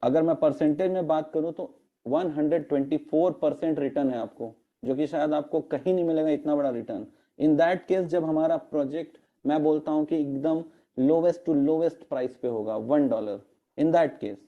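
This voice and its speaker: A man with a hoarse throat presenting in an online meeting, explaining step by step and slipping English terms into his talk, with fillers. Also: a little high, normal speed, highs cut off. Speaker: network marketing presenter. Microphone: video call.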